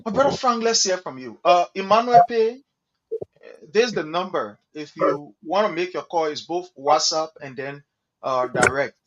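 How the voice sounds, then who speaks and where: A man hosting an online political talk show, loud and urging as he takes callers. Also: on the high side, expressive, moderate pace. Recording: dull lo-fi stream audio with clear noise.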